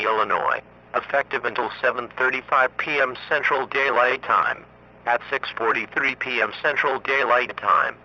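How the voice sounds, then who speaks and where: A man reading scripted emergency weather warnings in a formal, monotone synthetic voice. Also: mid-range voice. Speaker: emergency alert announcer. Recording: muffled lo-fi radio broadcast, faint noise.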